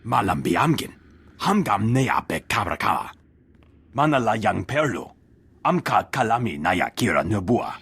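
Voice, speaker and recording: A man voicing a gruff, rough-voiced alien enforcer who speaks an invented tongue in a video game. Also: on the low side, very animated, moderate pace, fairly bright tone, full character voice. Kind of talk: video game character dialogue.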